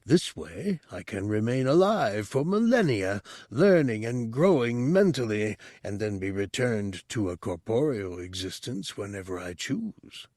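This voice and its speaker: A man voicing an ancient disembodied monk's brain, a deep, formal, serene teacher with a bemused manner. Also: sing-song, unhurried, few pauses, darkish tone, dry recording, clean studio sound. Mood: measured, scholarly.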